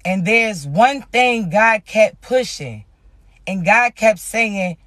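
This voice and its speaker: Raspy, loud man with a very high voice and a dry, close sound, delivering a spiritual reading slowly and emphatically. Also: expressive, no echo. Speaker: spiritual message reader. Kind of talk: casual spiritual message to viewers.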